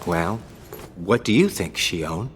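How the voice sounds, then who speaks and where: A man's voice: sits low, long reverb tail, a little hiss or hum, exaggerated voice. An actor playing a laid-back, caring young man in a video game, with a casual, wry drawl. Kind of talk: video game cutscene voice acting.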